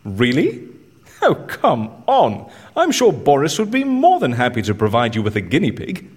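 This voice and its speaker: An actor playing a curious, gently probing confidant with dry wit in an audio drama, attentive and conversational. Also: male voice, medium pitch, huge pitch swings, normal speed, husky. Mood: wry, incredulous.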